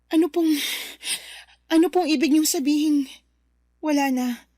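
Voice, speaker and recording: A woman playing an emotional young daughter in a radio drama, with a halting, heartfelt delivery. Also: high voice, mellow, dry recording. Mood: disbelieving. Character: grieving young daughter losing the man she loves. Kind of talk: Tagalog radio drama acting.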